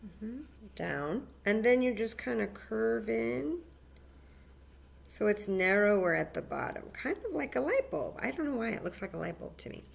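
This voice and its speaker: A woman's voice: medium pitch, very animated, moderate pace, creaky. A woman hosting a library story time, guiding children patiently through a paper plate craft step by step.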